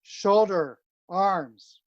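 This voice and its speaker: A woman calling out military drill commands as a drill caller, in clipped phrases with long pauses between them. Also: mid-range voice, no echo, lo-fi sound.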